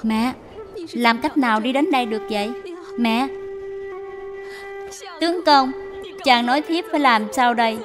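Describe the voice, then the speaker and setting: A woman voicing a gentle, devoted young widow in a dubbed animated moral tale, speaking earnestly and deferentially. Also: fairly high pitch, unhurried, long pauses, close to an everyday voice. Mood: anxious, pleading. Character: gentle, devoted young widow caring for her mother-in-law. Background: background music.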